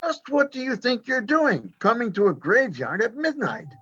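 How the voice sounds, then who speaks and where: A bewildered middle-aged father, voiced by an actor, stammers uneasily in a homemade radio play. The voice is very breathy and moves widely in pitch, and the recording sounds a little dull. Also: sing-song, moderate pace, a bit bright. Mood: uneasy. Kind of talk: amateur radio play acting.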